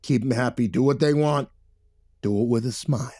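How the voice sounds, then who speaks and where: A gruff mob-style money man from an audiobook, acted out by a male narrator. He rumbles out slangy, bossy orders with plenty of swearing. He sounds menacing and pushes his words out loudly. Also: fairly quick, no room sound, clean studio sound, lightly acted. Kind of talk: audiobook character voice.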